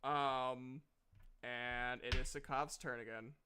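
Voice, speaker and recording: A man's voice: medium pitch, steady tone, slow, background noise. A man narrating a tabletop role-playing game as game master, casual and hesitant, swearing now and then.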